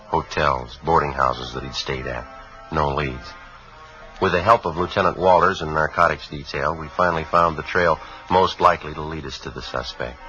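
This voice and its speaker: Deadpan cop from a 50s radio show, super low sharp voice, clipped and factual, muffled old recording. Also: male voice. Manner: clipped, deadpan, matter-of-fact. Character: level-headed male police homicide sergeant, narrating his case. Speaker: detective narrator. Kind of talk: 1950s radio crime drama acting and narration. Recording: dull vintage radio recording, faint music.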